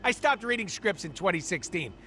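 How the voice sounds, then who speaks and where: A manic, irreverent video game mercenary. The voice sits high and swings wildly up and down. He rambles in a flippant, theatrical way, always wisecracking. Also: sing-song, brisk, bright, forceful tone, soft background music, heavily acted. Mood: flippant, rambling. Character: wisecracking, fourth-wall-breaking male mercenary superhero, manic and irreverent. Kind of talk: video game cutscene acting.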